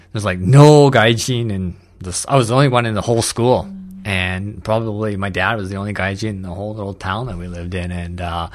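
A man from Canada, hosting a casual conversation and telling his own story in a relaxed, reflective way. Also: lowish voice.

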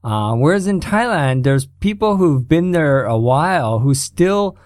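A man from Canada in casual conversation, telling stories in an animated way and laughing easily. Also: a little high, unhurried, no echo, clean studio sound.

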